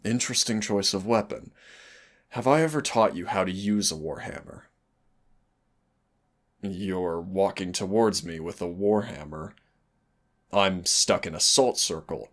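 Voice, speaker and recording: A very low-voiced male demon, acted by a man in an audio roleplay. He drawls at a slow pace, sardonic and cocky, with a teasing, exasperated fondness for the human he trains. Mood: panicked, indignant. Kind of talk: scripted roleplay audio acting.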